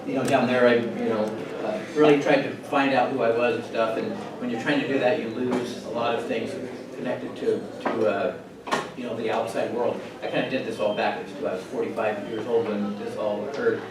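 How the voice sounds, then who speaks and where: Halting man in an echoey hall. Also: medium pitch, steady tone. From California, USA. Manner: halting, full of restarts and pauses. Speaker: resident steward, conference speaker. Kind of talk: personal story at a conference panel. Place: conference hall. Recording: very echoey hall, distant mic, room noise.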